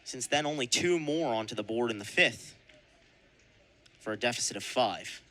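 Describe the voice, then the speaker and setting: Bright-voiced young male baseball announcer. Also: medium pitch, animated, moderate pace. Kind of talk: live radio sports commentary.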